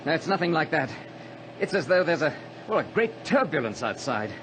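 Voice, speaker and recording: A man playing a capable small-plane pilot who fights to stay calm, in a radio adventure drama. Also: fairly high pitch, fairly quick. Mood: worried, tense. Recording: noisy vintage radio drama recording.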